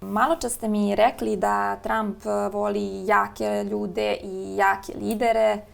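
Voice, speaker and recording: Woman interviewer, very creaky voice, flat delivery, measured questions with fillers. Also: mid-range voice.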